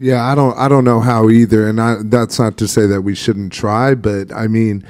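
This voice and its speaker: A man on a discussion panel speaks with a warm, mellow voice. He thinks aloud, hesitating often and circling back before landing his points. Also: lowish voice, steady tone, normal speed. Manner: reflective, hesitant, many fillers.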